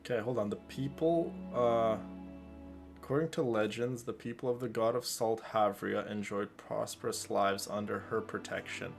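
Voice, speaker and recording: A man's voice: fairly low pitch, fairly even intonation, normal speed, creaky, faint music behind. A man doing casual, rambling and emphatic live commentary as he plays a game, easily amused. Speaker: game streamer.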